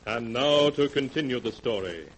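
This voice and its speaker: A man's voice: mid-range voice, lively intonation, normal speed, soft background music, poor audio. A male announcer giving solemn, ominous narration for a 1940s radio drama. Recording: hissy 1940s radio broadcast.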